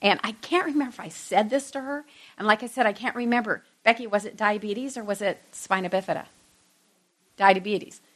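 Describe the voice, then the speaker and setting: Middle aged lady doing a bible lesson, really bright clear-cut voice, chatty and a bit self-deprecating. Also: medium pitch, brisk. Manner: conversational, self-deprecating asides to listeners. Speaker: teacher giving a Bible lesson. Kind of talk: informal Bible lesson talk to a group.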